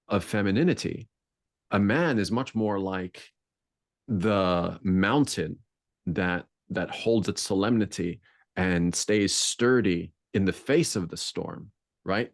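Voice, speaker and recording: A man with a deep voice speaking as a podcast guest in a reflective conversation, searching for words and building intensity on key points. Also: no room sound, studio quality.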